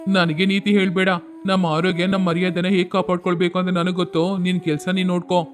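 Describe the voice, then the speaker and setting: A man's voice: high-pitched, level tone, fast talker, faint music behind, natural voice. A man voicing a proud, stern village elder in a moral story, haughty and scolding. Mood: arrogant, angry.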